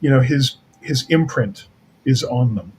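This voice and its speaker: A man from North America speaking as an interview guest, casually and haltingly, repeating words as he thinks. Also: medium pitch, animated, darkish tone, a little hiss or hum. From Canada or the United States. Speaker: guest, writer-producer of an audio drama.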